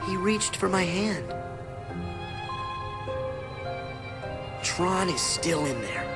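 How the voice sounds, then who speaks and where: A man voicing an earnest, excitable teenage boy hero in a video game cutscene, speaking emphatically. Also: high-pitched, a little slow. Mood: warm, hopeful. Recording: loud music behind the voice. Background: loud game music.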